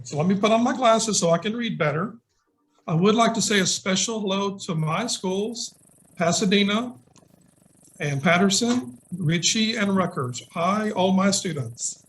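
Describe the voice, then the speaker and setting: A middle-aged man, a nurse, reading a picture book to young children and putting simple questions to them. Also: high voice. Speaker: nurse and guest storybook reader. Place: indoors on a video call. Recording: noisy video call with clicks.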